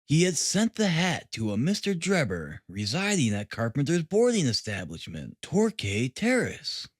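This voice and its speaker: A man acts out a self-satisfied police detective in a storybook reading. He boasts in a chatty, emphatic way, with a raised, very lively voice. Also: a little high, normal speed, no room sound, clean studio sound. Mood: boastful.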